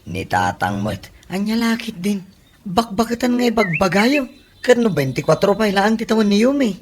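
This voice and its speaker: A man's voice: mid-range voice, very animated, moderate pace, close to an everyday voice. A man with a Filipino accent playing a protective, generous older brother in a radio comedy drama. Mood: earnest, insistent. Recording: clear background ambience and noise.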